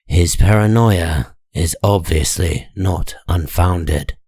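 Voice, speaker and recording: A man narrating a scripted comic story in a measured, deliberate dramatic style. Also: deep voice, darkish tone, breathy edge, no room sound, clean studio sound.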